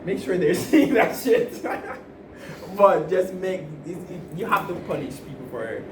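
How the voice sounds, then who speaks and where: Man, podcast co-host, casual chat, rambling advice, higher voice, recorded far from the mic. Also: expressive, a little slow. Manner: laughing, rambling advice with restarts. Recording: roomy, distant mic with noise.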